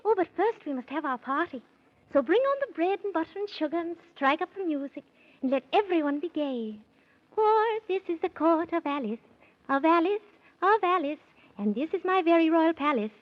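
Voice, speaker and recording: A woman's voice: very high-pitched, lively intonation, normal speed. A woman playing a gracious, whimsical young queen, grand and matter-of-fact, in a 1930s children's radio serial. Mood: festive, grand. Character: gracious, regal, whimsical young queen of Looking Glass Land. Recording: muffled 1930s radio recording.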